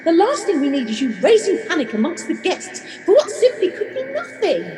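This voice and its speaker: A woman's voice: very high voice, very animated, brisk, a little mellow. An actress playing a haughty, commanding, dismissive woman in charge of a hotel in an audio drama. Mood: sharp, irritated. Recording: echoey reverberant hall, music behind.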